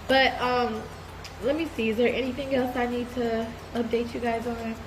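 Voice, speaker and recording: A woman's voice: fairly high pitch, normal speed, background noise. A woman with a stuffy cold voice, chatting candidly to her viewers in a casual life update. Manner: relaxed, candid, addresses viewers as y'all. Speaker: vlogger giving a life update. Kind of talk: casual chat to camera.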